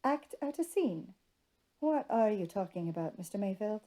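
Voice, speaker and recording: A woman's voice: mid-range voice, big pitch swings, normal speed, mellow, close to an everyday voice. A woman voicing a reserved, exasperated young society lady, curt and dryly formal, in a storybook reading.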